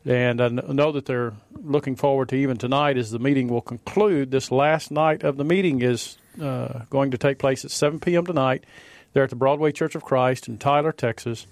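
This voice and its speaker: A man co-hosting a morning religious radio show, giving event announcements in a steady, measured way. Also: mid-range voice, no room sound. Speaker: radio co-host. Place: radio studio.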